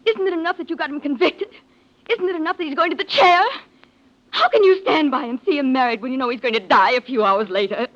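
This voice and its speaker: An actress playing an intense, scheming heiress in old-time radio melodrama, speaking in a theatrical, emphatic way. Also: female voice, high-pitched, fast talker, fairly bright tone. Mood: agitated pleading. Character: scheming heiress, outwardly pleading and sympathetic, intense. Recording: muffled vintage radio recording.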